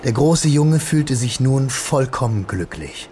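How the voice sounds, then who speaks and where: A man acting as the narrator of a radio play, reading literary prose in a composed, measured, descriptive way. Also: mid-range voice, expressive, a little mellow, slight background noise. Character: composed male third-person narrator of a literary tale.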